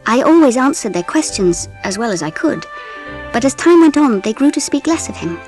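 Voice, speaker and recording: An actress playing a genteel English mother who narrates a children's story in a measured, expressive way with an English accent. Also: on the high side, soft background music. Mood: wistful, grave. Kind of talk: scripted audiobook narration with music.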